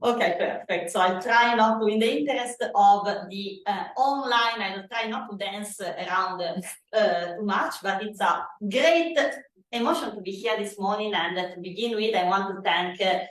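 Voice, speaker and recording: A woman with an Italian accent giving a conference keynote in a loud, animated way. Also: mid-range voice, moderate pace, recorded from a distance. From Italy. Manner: animated, loud, self-deprecating asides. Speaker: keynote speaker. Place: conference hall. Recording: echoey hall from afar.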